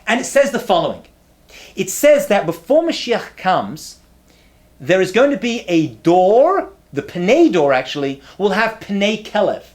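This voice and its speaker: A man in his thirties, a rabbi who is not from America, giving a lively lecture to camera with punchy repetition and humorous asides. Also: high voice, measured pace. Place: indoor study with bookshelves.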